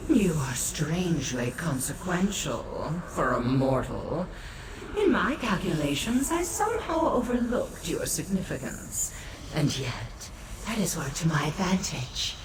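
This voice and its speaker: A woman's voice: sits low, very animated, unhurried. A woman voicing an imperious, cunning godlike demon prince in a fantasy game, haughty and calculating. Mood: haughty intrigue. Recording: distant echoing voice, loud background noise.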